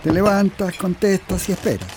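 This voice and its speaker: A man's voice: high-pitched, steady tone, normal speed. A man with a Chilean accent playing a stubborn, weary and disillusioned husband in a radio drama, curt and grumbling. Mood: irritated, resigned. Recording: clearly noisy radio play recording.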